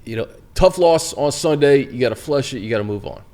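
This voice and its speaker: A man with a medium-pitched voice hosts a sports talk show. He speaks in a casual, conversational style, discussing players and moving the show along. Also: animated, fairly quick, mellow.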